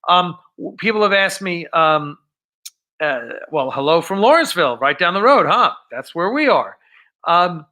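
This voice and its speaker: A man's voice: high voice, very animated, moderate pace, sharp tone. A man hosting a live stream, chatting casually and off script in a rambling, enthusiastic way.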